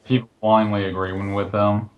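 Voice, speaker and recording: A man's voice: fairly low pitch, flat delivery, normal speed, narrow, tinny audio. A man taking part in a casual group chat over a voice call.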